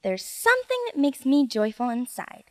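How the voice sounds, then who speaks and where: Storybook kid: high, lively little boy, earnest and breathless, voiced by a woman narrator. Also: normal speed. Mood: thoughtful. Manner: breathless, eager, childlike. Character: excited little boy, wide-eyed and earnest.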